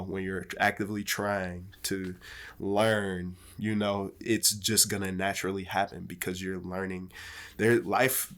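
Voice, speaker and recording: A man's voice: deep voice, a bit bright. A man in his twenties chatting casually as a podcast guest, laid-back and rambling, swearing freely. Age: 20s.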